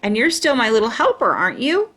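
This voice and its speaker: A woman's voice: on the high side, big pitch swings, quick pace, fairly bright tone. A woman voicing an affectionate, playfully persuasive grandfather in a storybook, speaking in a coaxing manner. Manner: coaxing, with tag questions.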